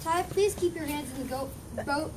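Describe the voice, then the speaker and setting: A woman reads the part of a patient father and priest of ancient Egypt, in a high voice. The delivery is halting, like amateur readers theater, and it is picked up from far off with clear background noise. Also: lightly acted. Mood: patient, instructive. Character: patient Egyptian father, embalmer priest teaching his children. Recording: distant mic, noisy room ambience.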